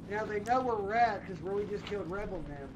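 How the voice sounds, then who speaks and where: High-voiced excitable man gaming, noisy. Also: quick pace, highs cut off. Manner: excitable, repeats callouts. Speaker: gamer in a group livestream. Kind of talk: casual team gaming chat. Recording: game gunfire and noise behind voice. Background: video game gunfire.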